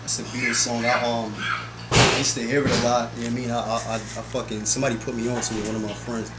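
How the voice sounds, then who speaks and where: A man's voice: medium pitch, little pitch movement, quick pace. A young man, a rapper, chatting casually as an interview guest in a slangy, rambling way full of repeated filler phrases. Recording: echoey room with background noise.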